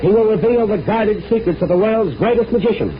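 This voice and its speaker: An actor playing a genial, curious radio announcer, theatrical and cordial in vintage radio style. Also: male voice, sits high, brisk, loud music behind the voice, close to an everyday voice. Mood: theatrical, inviting. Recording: muffled old radio recording, faint noise.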